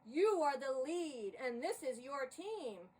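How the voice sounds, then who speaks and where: A towering heroic theatre saint performed by a woman storyteller declaims loudly and slowly, taking command. The delivery is grand and theatrical, with a high, swinging pitch. It is recorded a little off the mic with faint noise. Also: big pitch swings, distant mic, exaggerated voice. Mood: firm, reassuring. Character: tall, grand, commanding male theatre saint, rescuing the show.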